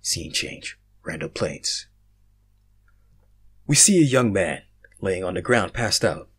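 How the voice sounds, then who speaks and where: A young man reading fan fiction aloud, casual in his chat and theatrical in his narration, swinging between hushed and loud. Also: low-pitched, very animated, slow, slightly rough. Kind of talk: casual intro and dramatic story narration.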